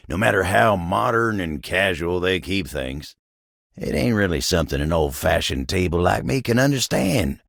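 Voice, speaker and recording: Gravelly, folksy Southern grumbler. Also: male voice, on the low side, pushed and bright, no room sound, clean studio sound, heavily acted. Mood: troubled, hesitant. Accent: American Southern or rural Western drawl. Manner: folksy, grumbling, flustered, halting when embarrassed. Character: gruff, proud, bashful old-fashioned talking wooden table, male. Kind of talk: video game character voice acting.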